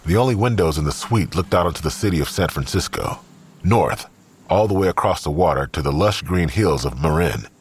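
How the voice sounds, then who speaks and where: Deep raspy guy narrating audio drama, super husky, lively intonation, scripted storytelling.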